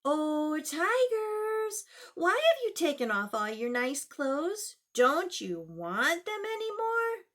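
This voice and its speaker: A woman voicing a timid but clever little boy in a children's story, with a high, pleading, breathless delivery. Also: big pitch swings, takes it slowly, few pauses, a bit bright, exaggerated voice. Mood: coaxing.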